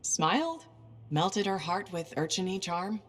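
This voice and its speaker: A woman's voice: on the low side, animated, unhurried. A woman playing a friendly freelance adventurer in a video game, casual and dryly teasing. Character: wry, friendly female freelance adventurer hero. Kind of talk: video game dialogue acting.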